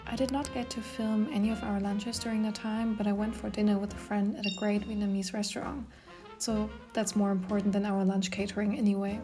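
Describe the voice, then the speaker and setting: A young woman giving a relaxed, chatty voice-over narration for her vlog. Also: medium pitch, brisk. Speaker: vlogger, consultant. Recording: voice-over with background music.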